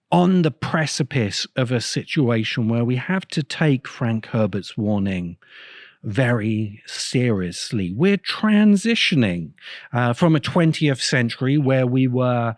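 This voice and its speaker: A man hosting a podcast, delivering a reflective monologue in a grave, deliberate way with emphatic phrasing. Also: medium pitch, no echo, studio quality. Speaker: podcast host. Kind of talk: reflective monologue, video essay.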